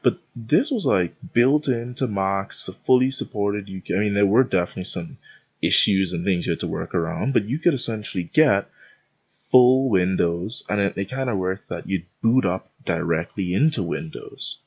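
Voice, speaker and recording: A man's voice: on the low side, moderate pace, breathy edge, phone-quality sound. A young man from the Bahamas hosting a podcast, telling a rambling, self-interrupting story in a casual rant. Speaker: podcast host.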